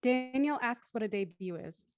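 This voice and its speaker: A woman's voice: a little high, lively intonation, normal speed, no echo, clean studio sound. A woman reading scripted scene narration for an audio play as its narrator.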